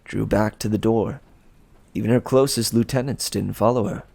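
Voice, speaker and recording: A man reading a storybook aloud as expressive narration, with a gravelly voice. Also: fairly low pitch, moderate pace, dry recording. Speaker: storybook reader.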